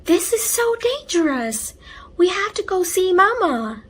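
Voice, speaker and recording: A woman voicing a caring, protective young girl in a children's storybook, earnest and expressive. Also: very high-pitched, measured pace, pitched above the performer's own voice, heavily acted. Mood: alarmed.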